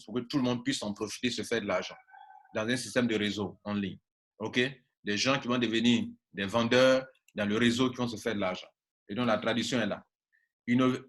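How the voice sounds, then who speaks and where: Ivorian man, native Baoulé speaker, medium pitch, marketing coach, informal training talk, emphatic, repetitive, rhetorical questions. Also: a bit bright. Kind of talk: informal online training talk, reading and translating slides.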